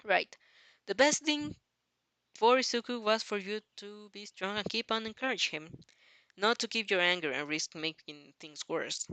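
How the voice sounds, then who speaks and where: A woman reading a dramatic fan fiction story aloud as narrator, halting now and then over the text. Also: medium pitch, sharp tone, no room sound, rough recording. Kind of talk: dramatic audio story narration in second person.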